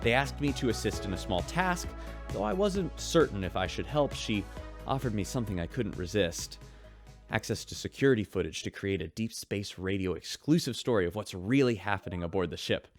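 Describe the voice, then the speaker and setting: A man's voice: lowish voice, animated, brisk, faint music behind. A man as a chatty, upbeat radio show host. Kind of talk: scripted radio drama host.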